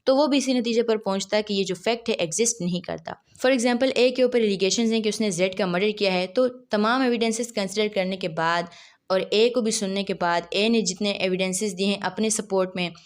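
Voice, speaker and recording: A woman's voice: mid-range voice, fairly quick. A woman with a Pakistani accent explaining like a law lecturer, didactic, often posing questions and answering them herself.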